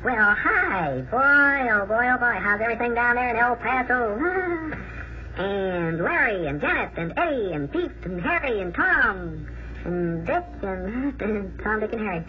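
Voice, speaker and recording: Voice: medium pitch, big pitch swings, a little slow. Gleeful little boyish character, swooping intonation, old children's radio show, muffled noisy tape. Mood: gleeful, eager. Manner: gushing, exclaiming, playful.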